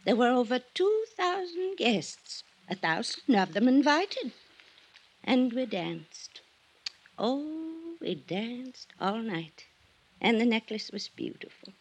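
An actress playing a wealthy older society widow in an old-time radio drama, chatty and nostalgic in a rambling, effusive way. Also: female voice, a little high, big pitch swings, slow, slightly rough, heavily acted. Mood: wistful nostalgia.

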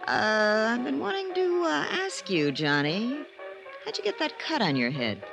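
An actress playing a sardonic, sophisticated American magazine writer in a 1950s radio mystery, with dry, arch banter. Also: female voice, on the high side, big pitch swings, a little slow, creaky, music in the background, heavily acted, low-quality recording. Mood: needling, dry.

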